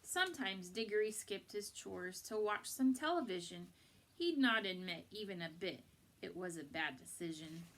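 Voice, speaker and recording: A woman reading a picture book aloud to children in an expressive, deliberate, instructive way. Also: medium pitch. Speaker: storybook reader for children.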